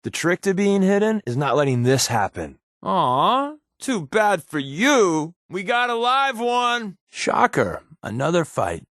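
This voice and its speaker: Wry undercover agent with lively intonation. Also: male voice, high-pitched, slow, hardly any pauses, no echo, clean studio sound, exaggerated voice. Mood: sarcastic. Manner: wry, flippant, sarcastic. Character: wisecracking, guarded male undercover railroad agent companion. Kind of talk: video game companion dialogue.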